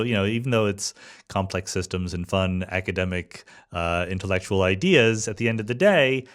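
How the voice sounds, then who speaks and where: A man hosting a podcast, talking conversationally as he explains ideas to a guest in an interview. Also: fairly low pitch. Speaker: podcast host.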